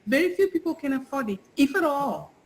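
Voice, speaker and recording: A woman's voice: high voice, very animated, brisk, a little hiss or hum. A middle-aged woman from Africa whose first language is Swahili, speaking earnestly and from the heart as an interview guest, often repeating her words. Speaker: guest, parent. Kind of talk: casual interview chat.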